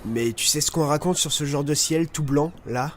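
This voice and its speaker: An actor playing a superstitious, uneasy sailor in an audio drama, with a foreboding, insistent manner. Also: male voice, on the high side, moderate pace, slight background noise, close to an everyday voice.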